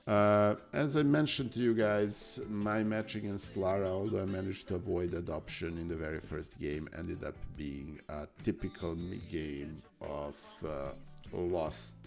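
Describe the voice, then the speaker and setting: Slow raspy-voiced man streaming chess. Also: low voice, a little mellow. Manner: halting, many fillers and restarts. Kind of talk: casual live-stream commentary.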